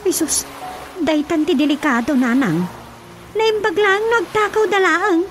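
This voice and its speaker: A woman's voice: extremely high pitch, a little slow, a bit bright, faint music behind. A woman playing an anxious, questioning daughter in an Ilocano-accented radio drama. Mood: alarmed. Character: anxious daughter, frank and easily alarmed.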